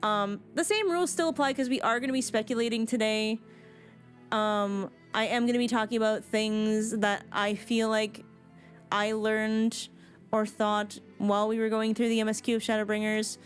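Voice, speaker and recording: A woman game livestreamer chatting informally and casually with her viewers. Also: medium pitch.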